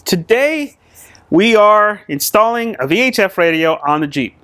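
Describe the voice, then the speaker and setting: A man's voice: high-pitched, expressive, unhurried, sharp tone, clean tone, dry recording. A man hosting a vehicle install video, talking casually and explaining to the viewers in an informal, conversational way. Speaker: host of an off-road vehicle channel. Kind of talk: casual install tutorial to camera.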